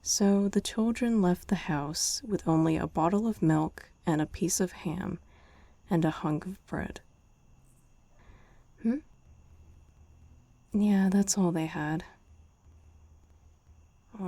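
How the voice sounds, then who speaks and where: A woman reading a bedtime story in an ASMR girlfriend roleplay, speaking softly and chatting to the listener, close to the mic. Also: fairly low pitch, takes it slowly, pauses often, no room sound. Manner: soft, chatting to the listener between lines.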